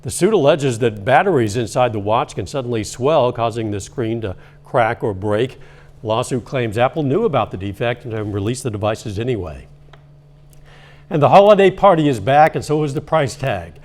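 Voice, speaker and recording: A man reading a local evening newscast as anchor, in a steady, measured delivery with a slightly strained voice. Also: mid-range voice. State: losing his voice, coughing at times.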